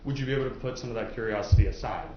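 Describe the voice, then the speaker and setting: A man's voice: mid-range voice, very fast. A man speaking as a prosecutor, questioning potential jurors in an informal, explanatory way with casual asides. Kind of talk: courtroom jury questioning, conversational. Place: indoor courtroom. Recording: very echoey courtroom, muffled, faint noise.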